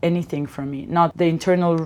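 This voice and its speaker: A woman from Israel, a guest comedian, telling lively stories in a casual interview and acting out quoted lines. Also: lowish voice, rapid-fire. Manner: animated storyteller, acts out quotes.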